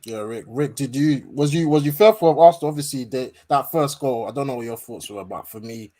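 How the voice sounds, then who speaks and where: A man hosts a casual fan stream, chatting in slangy, easygoing banter. His voice sits at an ordinary middle pitch and he rambles through fillers as he talks. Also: brisk, warm tone. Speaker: host of a football fan stream. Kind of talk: casual live-stream chat.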